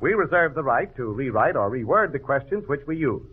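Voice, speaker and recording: Upbeat old time radio pitchman, rough edge to voice, very lively, tinny sound. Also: fairly quick. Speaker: radio show announcer. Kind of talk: 1940s radio sponsor announcing. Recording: tinny vintage radio broadcast.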